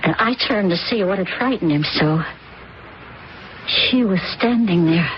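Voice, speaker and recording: An actress in a vintage radio drama playing a shaken woman who saw a drowning, speaking in a halting, earnest, hushed way. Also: lowish voice, animated, a little hiss or hum. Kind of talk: 1970s radio drama acting. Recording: dull vintage radio recording.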